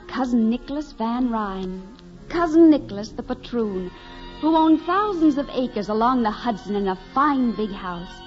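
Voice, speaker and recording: A woman acts out a naive young country woman in an old radio melodrama. Her voice is on the high side, earnest and gushing, with music underneath. The recording is muffled and vintage. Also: close to an everyday voice. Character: naive, eager young farm woman, dreamy and breathlessly excited. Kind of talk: 1940s radio acting, dramatic first-person narration.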